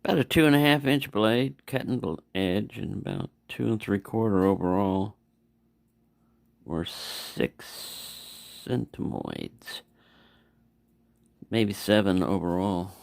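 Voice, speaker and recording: Low-voiced gravelly man, unhurried casual review. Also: lively intonation, dry recording. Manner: rambling, self-correcting, mumbling asides. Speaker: knife reviewer. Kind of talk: casual unscripted product review chat.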